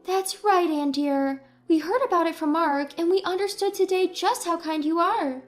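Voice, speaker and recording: A woman voicing a warm, affectionate mother-in-law in a storybook drama. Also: extremely high pitch, moderate pace, faint music behind, voice pushed up. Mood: kindly.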